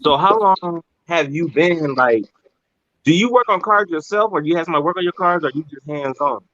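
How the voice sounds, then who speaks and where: Upbeat podcast host, dull lo-fi sound. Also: male voice, a little high, expressive, fairly quick, bright, forceful tone, no echo. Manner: hype, upbeat, calls everyone "man" and "bro". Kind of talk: casual podcast hosting.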